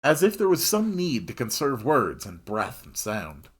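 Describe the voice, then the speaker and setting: A man's voice: medium pitch, wildly swooping pitch, a little slow, slightly rough. A man reading a story aloud expressively, dropping in wry, casual asides of his own. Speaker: host reading a visual novel aloud.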